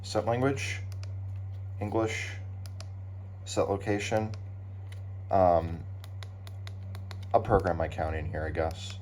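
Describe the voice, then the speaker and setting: Slow, low-voiced man unboxing gadgets. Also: a little mellow. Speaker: hobbyist unboxing weather radios. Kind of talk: casual unscripted unboxing commentary. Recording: clear background noise, handling clicks.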